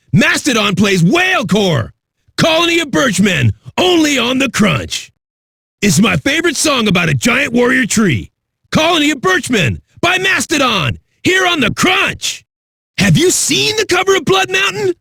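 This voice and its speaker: A man playing a brash, foul-mouthed metal radio DJ in a video game, with a raspy voice and rowdy, swaggering delivery. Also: extremely high pitch, bright, forceful tone, no room sound, studio quality, exaggerated voice. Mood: fired up. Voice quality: raspy, gravelly. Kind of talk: high-energy rock radio DJ patter.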